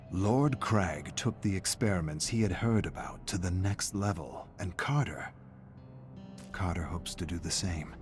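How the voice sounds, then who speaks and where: A man giving a dramatic, brooding narration of dark fiction in terse, clipped phrases, like game lore. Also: deep voice. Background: background music.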